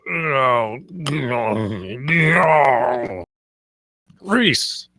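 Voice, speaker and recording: A man's voice: mid-range voice, expressive, extremely slow, bright, forceful tone, no room sound, clean studio sound, heavily acted. A man playing a stern, curt lord of a manor in a screenplay read, terse and commanding.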